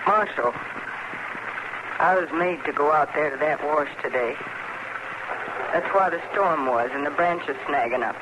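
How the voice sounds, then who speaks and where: Strong-willed frontier widow on noisy old radio. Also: female voice, low-pitched, unhurried, long pauses. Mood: solemn, fateful. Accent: rural American. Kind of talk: old-time radio Western acting. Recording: noisy vintage radio recording.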